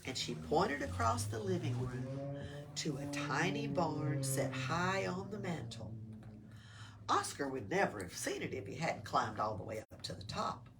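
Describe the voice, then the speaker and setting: A woman reads a storybook to children at an unhurried pace. Her delivery is expressive and lilting with some creak in it, recorded a little way from the mic. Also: deep voice, music in the background. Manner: expressive, patient with child listeners. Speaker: library story-time reader. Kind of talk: children's storybook read-aloud. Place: public library room. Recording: distant mic with faint room noise.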